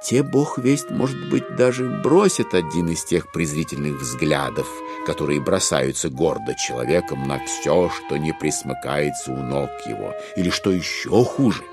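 A man narrating a classic novel as a theatrical audiobook, in a wry, expressive way with deliberate pauses. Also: very deep voice, music in the background.

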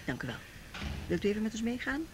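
A woman acting a brisk, polite, efficient hospital nurse in a radio drama. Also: medium pitch, sing-song, natural voice. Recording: noisy, clicky radio drama recording.

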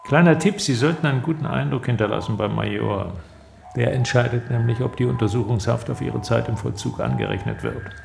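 An actor playing a smug East German interrogator in a radio crime drama, speaking in a measured, patronizing, quietly threatening way. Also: male voice, mid-range voice, creaky, background noise. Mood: patronizing, menacing. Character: smug, coolly menacing male East German secret police interrogator.

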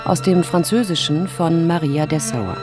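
A woman's voice: sits low, moderate pace. A woman formally announcing the title and credits of a radio play. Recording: violin music under the voice. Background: violin music.

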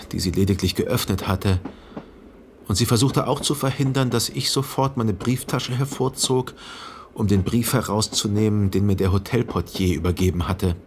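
A man playing a reflective son who narrates his memories in a radio drama, with a husky voice and measured, contemplative delivery. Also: lowish voice, slight background noise, close to an everyday voice. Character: reflective literary narrator, a grown son remembering.

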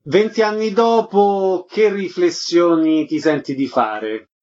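A man's voice: high voice, slow pace, darkish tone. A man hosting a radio show, asking questions and reading out announcements. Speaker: radio interviewer and host. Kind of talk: radio interview and scripted announcements. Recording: dull, noisy lo-fi radio audio.

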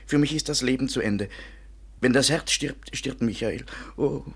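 A melodramatic French waiter whose heart is broken. The voice is husky and sits at a middle pitch. He speaks in the mournful, self-pitying, theatrical manner of 1950s German radio drama. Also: moderate pace. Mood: mournful, despairing. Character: lovesick, melodramatic French waiter and hobby painter, a man.

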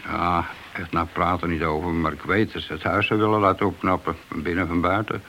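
A man's voice: low-pitched, flat delivery, brisk, sharp tone. An actor playing a cautious, by-the-book middle-aged policeman and family man in a radio play, sceptical and reserved. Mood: wistful, confiding. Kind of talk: radio play dialogue acting. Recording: dull old radio recording with hiss.

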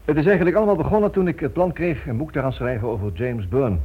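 A man's voice: on the high side, fast, slight background noise, natural voice. A man playing an earnest, insistent writer with a story to report, in a Dutch radio drama. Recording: thin muffled old radio recording.

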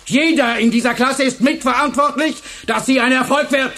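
Stern, impassioned teacher, high-pitched voice. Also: male voice, steady tone, creaky, pushed and bright, heavily acted. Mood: stern, commanding. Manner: emphatic, deliberate oratory. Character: stern, impassioned male high school teacher addressing pupils. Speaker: actor. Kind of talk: German radio play acting. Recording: echoey hall with long reverb.

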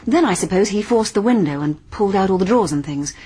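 A woman's voice: medium pitch, lively intonation, quick pace, mellow, husky. An actress playing a keen, clever young woman sleuth with an English accent in a radio mystery drama. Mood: eager, explaining. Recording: faint background noise.

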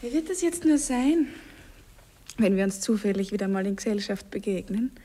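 An actress playing a worldly married woman with a secret lover, coaxing and lightly mocking in a breathy murmur, in a radio drama. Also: medium pitch, lively intonation, moderate pace, dark tone, close to an everyday voice. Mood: fond, brisk. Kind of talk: German radio drama acting, intimate dialogue.

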